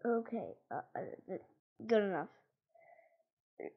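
A woman's voice: medium pitch, animated, slow, narrow, tinny audio, heavy background noise. A woman improvising casually and playfully in a gaming video, often muttering.

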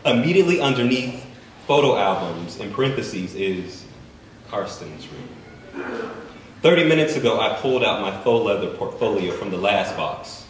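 A man reading his own fiction aloud at an open mic in a bookstore, measured and deliberate. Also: on the low side, sing-song. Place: bookstore event space, indoors. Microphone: stand mic, recorded by a far camera. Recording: echoey room from far off, faint noise.

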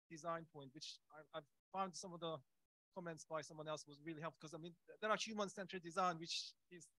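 High-pitched man, halting and searching for words. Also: pushed and bright, no room sound, rough recording. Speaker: audience member asking a question. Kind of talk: unscripted question at a conference. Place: indoor conference room audience. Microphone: handheld microphone.

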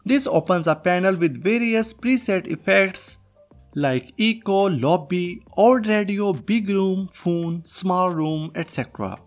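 A man presenting a software tutorial as host, reading a scripted narration. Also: sits high, expressive, slow. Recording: music behind the voice. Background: background music.

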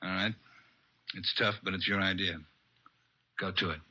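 An actor playing a gruff American police chief in an old radio crime drama, brisk, skeptical and authoritative. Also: male voice, low-pitched, fairly even intonation, very noisy, natural voice. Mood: doubtful, commanding. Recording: dull vintage radio recording.

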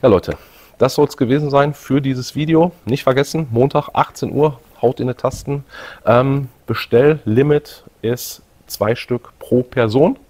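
A man's voice: medium pitch, measured pace, darkish tone. A middle-aged man hosting a video, chatting casually to viewers and his guest. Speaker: video host. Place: indoor event room with collection displays.

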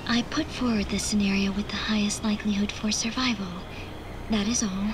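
An actress voicing a detached digital AI girl in a video game cutscene, measured and precise. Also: mid-range voice, steady tone, noisy background, natural voice. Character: calm female digital AI girl, cryptic. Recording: game audio with music underneath.

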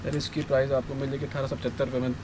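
A Pakistani shopkeeper with a Pakistani Urdu accent and a medium-pitched voice walks through his stock, politely calling the interviewer sir. He lists each item and its price in a plain, even way. Clear street and traffic noise runs behind him. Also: racing. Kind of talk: explaining products and prices. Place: wholesale shop in an urban market.

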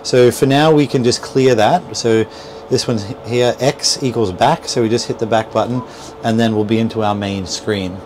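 A man in his thirties giving an informal, instructional walkthrough, explaining things with hesitant, repeated words. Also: mid-range voice. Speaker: boat dealer presenter. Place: small boat cabin at a marina. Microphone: clip-on lapel mic. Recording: noisy boat cabin with steady background hum.

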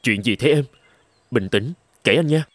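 A man playing a kind, earnest young painter in a dubbed cartoon, speaking politely and gently. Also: medium pitch, expressive, unhurried, husky, dry recording. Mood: concerned.

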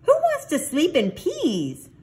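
A woman voicing an excitable little boy kitten who plays at being a Chihuahua, with playful mock-Spanish flourishes, in a storybook reading. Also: on the low side, slow pace, full character voice. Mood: excited.

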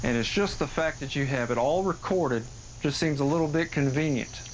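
Man playing a stern, higher-voiced skeptical detective. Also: normal speed, natural voice, low-quality recording. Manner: blunt, accusatory, sarcastic. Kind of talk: found-footage horror acting. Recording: outdoor audio with insect noise.

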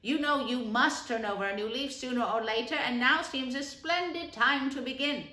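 Woman reader voicing earnest male rat, firm, raised pitch, roomy recording. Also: moderate pace, bright, forceful tone. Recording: roomy echo.

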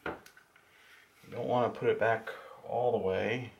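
Slow talking guy doing a casual how-to at his bench, halting little phrases, mic far off w/ noise and clicks. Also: fairly low pitch, steady tone, gravelly. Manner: halting, muttered step-by-step remarks while working with hands. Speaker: hobbyist demonstrator. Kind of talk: casual hands-on tutorial. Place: indoors at a tabletop workbench.

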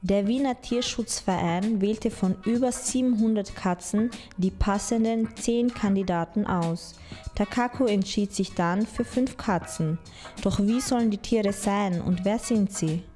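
A woman's voice: mid-range voice, measured pace, mellow. A woman reading scripted narration for a TV magazine report. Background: faint music.

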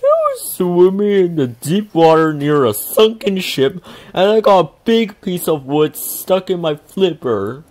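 A big, gentle whale from a children's storybook, voiced by a woman. He speaks loudly and emphatically, pitched up from narration. His words are drawn out and good-hearted. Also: wildly swooping pitch, unhurried, few pauses. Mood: hurting, tearful.

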